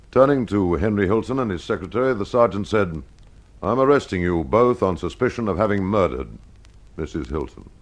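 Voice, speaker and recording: Deep-voiced man narrating a radio mystery. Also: a little mellow. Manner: measured, dramatic storyteller addressing the listener. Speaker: host and narrator of a mystery programme. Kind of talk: scripted old-time radio detective storytelling.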